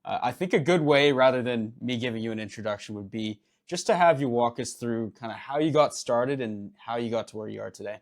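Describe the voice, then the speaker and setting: A man's voice: medium pitch, fairly quick, few pauses. A man hosting a podcast, speaking in a friendly, casual way with fillers. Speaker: podcast host. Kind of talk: podcast interview.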